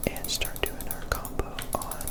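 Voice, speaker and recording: Whispering ASMR gamer. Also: unhurried. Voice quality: breathy unvoiced whisper. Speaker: gaming ASMR video creator. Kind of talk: ASMR gameplay commentary.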